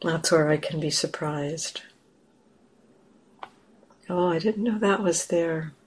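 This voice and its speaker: A woman leading a guided meditation as a teacher, gentle and unhurried, leaving long pauses. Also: deep voice, breathy edge, low-quality recording.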